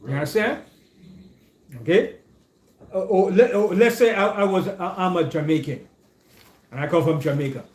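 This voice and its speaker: A man leads a relaxed group discussion. His voice sits a little high for a man. He keeps checking in with his listeners, and the room around him echoes. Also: normal speed, pauses often. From Caribbean. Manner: keeps checking in with "you understand?".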